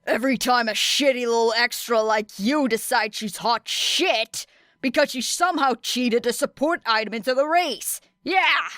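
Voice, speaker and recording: Hot-headed teen boy bully, acted by a female reader, high-pitched, snarling, threatening, audiobook drama. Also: normal speed, fairly bright tone, no echo, studio quality, close to an everyday voice. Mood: snarling rage. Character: furious, foul-mouthed, hot-headed teenage boy bully.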